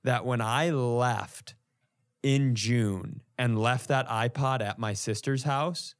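A man's voice: medium pitch, slow. A man telling comic stories in casual podcast chat, with an exasperated, profane delivery. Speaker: comedian, podcast co-host.

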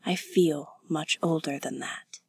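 Woman voicing a stern, hushed young woman, low-pitched. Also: slow pace, creaky, husky, no room sound, heavily acted. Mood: weary. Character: stern, proper, weary woman of twenty-four, protective and scornful.

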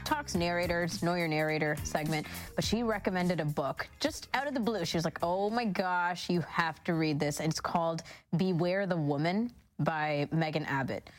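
A woman's voice: lowish voice, normal speed, few pauses, faint music behind. A woman, chatting casually as co-host of a radio magazine show. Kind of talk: casual broadcast chat.

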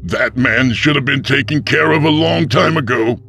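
A man's voice: medium pitch, slow pace, sharp tone, slightly rough, soft background music, voice pushed up, exaggerated voice. A man voicing a zealous, scornful small-town pastor with a preachy manner. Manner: preachy, contemptuous.

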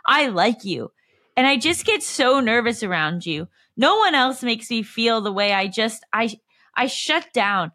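A woman's voice: a little high, big pitch swings, pushed and bright, dry recording, studio quality, exaggerated voice. A woman giving a dramatic comic reading as a gushing, clingy, lovesick woman sending obsessive texts. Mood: lovesick pleading. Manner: dramatic monologue with drawn-out, list-like delivery.

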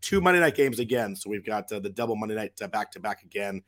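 A man with a hoarse, worn voice hosting a casual sports podcast, chatty with frequent restarts. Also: fairly low pitch, animated, fast talker, a bit bright. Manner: chatty, lots of fillers and restarts. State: hoarse from a cold. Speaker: podcast host.

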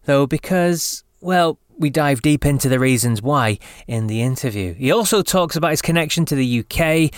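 Upbeat English podcast host, dry close mic. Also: male voice, medium pitch, dry recording. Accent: English with a slight Scottish lilt. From England. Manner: chatty, upbeat, laughs easily. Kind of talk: scripted intro then casual interview.

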